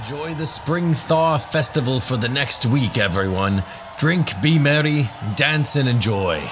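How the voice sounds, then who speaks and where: A man's voice: mid-range voice, expressive, a little slow, noisy background, close to an everyday voice. A man voicing a bustling, cheerful professor who acts as master of ceremonies in an audio drama. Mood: festive.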